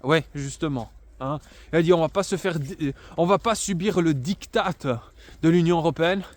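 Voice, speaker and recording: A man's voice: fairly high pitch, moderate pace. A man giving an unscripted political monologue to camera, emphatic and sarcastic, with many fillers and restarts. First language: bilingual French and Italian. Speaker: political commentator.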